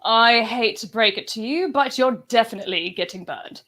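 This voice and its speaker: A woman playing a cool, teasing, deadly villainess in a comedic space-opera table read, with deadpan delivery. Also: fairly high pitch, moderate pace. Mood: cool, taunting.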